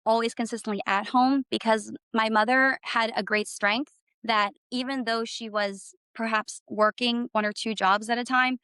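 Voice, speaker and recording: A woman's voice: a little high, sharp tone, clear, resonant tone, no echo. A middle-aged woman from Canada, a guest in an interview, speaking reflectively with hesitant fillers. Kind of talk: personal interview conversation.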